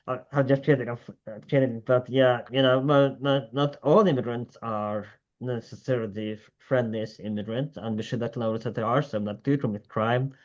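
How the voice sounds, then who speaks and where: Podcast host man, halting restarts. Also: mid-range voice, fairly even intonation, darkish tone. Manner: frequent agreeing "yeah", halting restarts. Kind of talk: casual podcast conversation. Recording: dull lo-fi audio.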